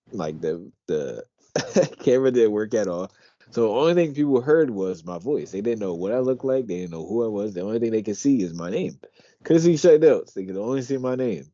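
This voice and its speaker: Young male podcast co-host: very low, dark, mumbly voice through his teeth, dry, dull lo-fi mic. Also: moderate pace, no echo. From Pennsylvania. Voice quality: deep, quiet, talks through his teeth. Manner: relaxed, rambling, swears casually. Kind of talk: casual chat.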